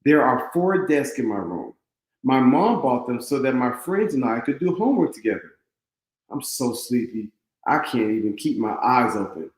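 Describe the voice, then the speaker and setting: A man plays an excitable eleven-year-old boy from a children's storybook, devoted to his mother. The delivery is earnest and animated, at a middling pitch. The sound carries a noticeable room echo. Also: moderate pace, close to an everyday voice. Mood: excited. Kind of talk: read-aloud children's storybook. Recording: roomy echo.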